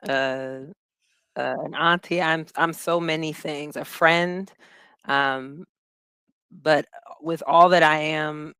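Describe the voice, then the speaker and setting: A woman from Philadelphia, a guest in an interview, speaking reflectively and haltingly as she searches for words, often laughing as she talks. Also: low voice, a bit bright, clean tone, no echo. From Philadelphia, USA. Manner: laughs easily while talking.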